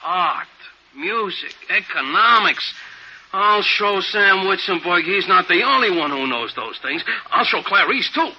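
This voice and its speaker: An actor playing a naive, eager, jittery working-class man with a husky voice in a 1950s radio comedy-drama. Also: high voice, lively intonation, unhurried, a little hiss or hum. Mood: excited, proud. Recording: dull old radio recording.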